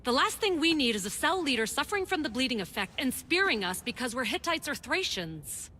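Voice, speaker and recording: A protective doctor in a game cutscene, calm and professional but firm when it counts. Her voice is bright and somewhat high, with a long reverb and a faint hiss around it. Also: fairly quick, heavily acted. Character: caring, firm woman doctor and therapist.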